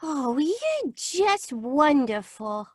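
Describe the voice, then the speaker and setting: Actress as adoring 1940s radio secretary: girlish, very high, drawn-out delivery. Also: very animated, background noise, heavily acted. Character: adoring, breathless female secretary, eager, girlish. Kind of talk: 1940s radio drama acting.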